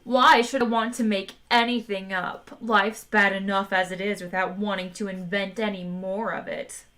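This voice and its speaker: A woman voicing a depressed male robot in a storybook reading, speaking flatly with weary sarcasm. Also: mid-range voice, normal speed, natural voice. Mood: gloomy deadpan. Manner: flat, weary, sardonic. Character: gloomy depressed male robot, low dull voice.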